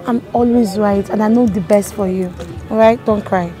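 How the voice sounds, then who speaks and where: A woman's voice: mid-range voice, steady tone, normal speed, over music, natural voice. A woman playing a pushy, coaxing woman. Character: pushy, self-assured woman urging a girl to leave. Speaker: persuader. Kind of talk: skit acting.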